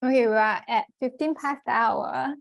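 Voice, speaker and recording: Female meeting host, higher pitch, unhurried pace, close dry sound. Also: gravelly, dry recording. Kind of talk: facilitating an online group meeting.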